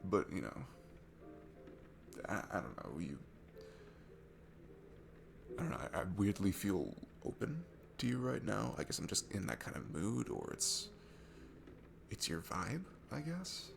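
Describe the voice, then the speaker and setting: Shy, insecure guy at a bar, played by a man: gravelly, animated, halting and awkward, intimate ASMR roleplay with music behind him. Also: low-pitched, exaggerated voice. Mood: shy, open. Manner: nervous, halting, rambling, apologetic with awkward laughter.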